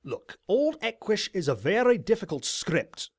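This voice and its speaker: A man playing an ageing, learned pony explorer in an audio drama, with a dry, measured and hesitant manner. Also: high voice, very animated, husky, no room sound, exaggerated voice. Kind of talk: audio drama character acting.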